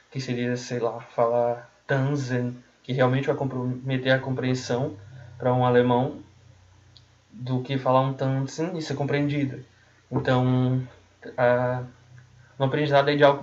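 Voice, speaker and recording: A man with a Brazilian accent giving informal language-learning tips in a colloquial way, often repeating and rephrasing himself. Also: mid-range voice. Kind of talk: informal explanatory talk. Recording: dull, muffled lo-fi audio.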